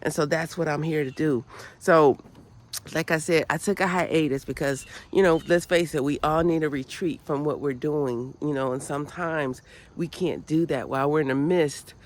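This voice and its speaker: A woman's voice: sits low, moderate pace. A woman hosting her own channel, nasal from a cold, giving an earnest, preachy motivational talk full of repeated phrases and "you know"s. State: has a cold, sounds nasal. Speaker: channel host. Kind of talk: motivational spiritual vlog talk.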